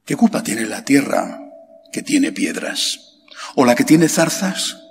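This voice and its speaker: Middle-aged priest, unhurried homily with gravelly edge, large chapel reverb, faint hiss. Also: male voice, very animated. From Spain. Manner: slow and emphatic, rhetorical questions, pitch leaps on key words. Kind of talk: sermon. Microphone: stand mic at lectern. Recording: long hall reverb, faint background hiss.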